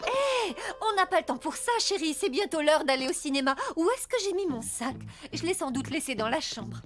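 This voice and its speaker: Excitable cartoon partner, very high, swooping, exclamatory, music underneath. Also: female voice, a little fast. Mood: impatient. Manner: exclamatory, expressive. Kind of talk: French-dubbed cartoon acting. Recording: music behind.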